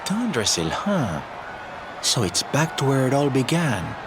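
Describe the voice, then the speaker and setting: A man's voice: mid-range voice, huge pitch swings, unhurried, noisy background. Wistful male adventurer. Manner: wistful, reflective. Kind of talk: video game voice acting.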